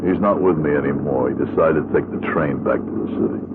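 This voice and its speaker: An actor playing a bitter, desperate middle-aged ex-convict crook in an old radio drama, with a husky voice. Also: male voice, very deep voice, fast talker, background noise. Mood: guarded, terse. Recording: muffled, narrow-band old radio recording.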